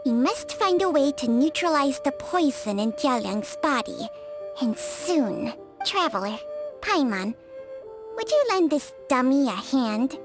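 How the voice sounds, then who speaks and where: A woman's voice: high voice, very animated, measured pace, music in the background. A woman voicing a proud, ancient female snake spirit in a video game, formal, haughty and admonishing. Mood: urgent, concerned. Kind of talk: video game character acting.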